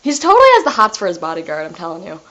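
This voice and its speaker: A woman's voice: lowish voice, sing-song, rapid-fire, pushed and bright, highs cut off. A woman chatting casually in a giggly, teasing way. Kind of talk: casual chat.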